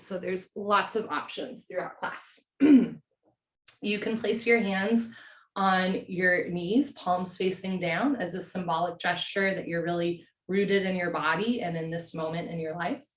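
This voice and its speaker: A woman with a hoarse voice from a cold, teaching a yin yoga class from home in a slow, gentle, soothing way. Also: lowish voice, roomy sound.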